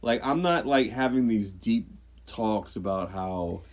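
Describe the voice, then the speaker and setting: A man co-hosting a podcast, chatting casually, swearing freely and jumping into squeaky mock voices. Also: measured pace. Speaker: podcast co-host. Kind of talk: casual chat.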